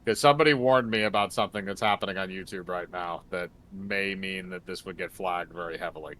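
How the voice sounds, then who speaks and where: A man's voice: low-pitched, a little fast, a bit bright, a little hiss or hum. A man co-hosting a livestream with casual, jokey banter and commentary over game music. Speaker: streamer co-host. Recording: music behind.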